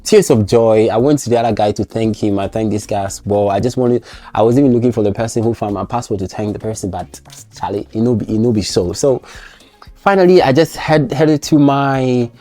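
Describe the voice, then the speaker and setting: A man's voice: fairly low pitch, brisk, warm tone, faint music behind. A man vlogging about his trip, recounting it animatedly with many restarts and self-corrections. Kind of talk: casual travel storytelling.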